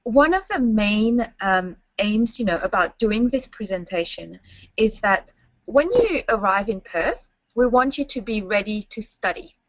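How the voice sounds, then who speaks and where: A woman's voice: mid-range voice, expressive, a little slow. A young woman guides students through a webinar, friendly and instructive, often checking they follow along. The sound is dry with no room echo, and slightly muffled and dull. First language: French. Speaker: university staff presenter. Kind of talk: webinar presentation.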